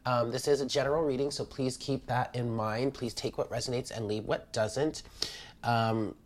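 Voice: mid-range voice, steady tone, moderate pace. A tarot reader with a stuffy, congested nose, chatting informally and directly to viewers during a casual spiritual card reading. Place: indoor room at a table.

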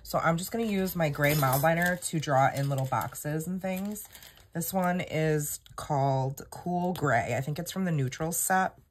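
A woman's voice: deep voice, measured pace. A woman in her thirties with a slightly scratchy voice, chatting casually while she plans a notebook spread at her desk in a home craft room. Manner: chatty, self-deprecating, rambling. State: scratchy voice from illness. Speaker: planner vlogger. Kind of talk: casual chat while planning. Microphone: overhead camera, hands only in frame.

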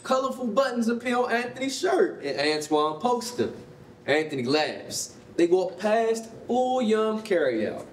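A man with an American accent narrates a staged reading in a high voice with animated swings of pitch. He reads scripted stage directions in a roomy hall, picked up from a distance. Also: measured pace. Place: concrete-walled rehearsal hall. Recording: roomy, distant mic, faint noise.

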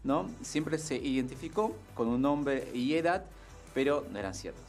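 A man's voice: medium pitch, expressive, moderate pace, soft background music. A man, not a native Spanish speaker, telling a football story as a sports columnist on a studio radio show. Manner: storytelling, many fillers and tag questions. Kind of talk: casual radio chat. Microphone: studio mic on boom arm, headphones.

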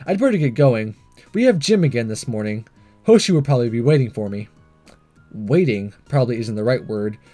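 A man reads as a confident teenage boy in a visual novel. The delivery is casual and breezy, with a mellow tone and lively pitch. Soft music runs underneath. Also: brisk, close to an everyday voice. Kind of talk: first-person visual novel narration and dialogue.

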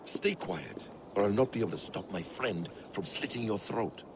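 Calculating assassin mentor, lowish voice, lively intonation, measured, game cutscene. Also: male voice, background noise. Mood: quietly menacing. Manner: measured, quietly threatening. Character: calm, calculating middle-aged assassin mentor. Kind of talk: dramatic game cutscene acting.

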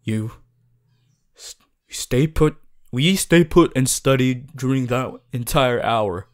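Casting: male actor as earnest male student class president, fussy, caring, mid-pitched, for an ASMR roleplay addressed to the listener. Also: slow pace, close to an everyday voice. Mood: gently nagging. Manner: hesitant, repeating himself, gently bossy.

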